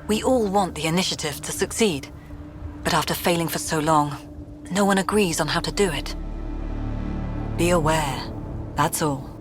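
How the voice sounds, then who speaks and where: An actress playing a guarded, skeptical colonial official in a video game, speaking in a measured, dry, candid way. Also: female voice, sits low, natural voice. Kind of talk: video game dramatic dialogue.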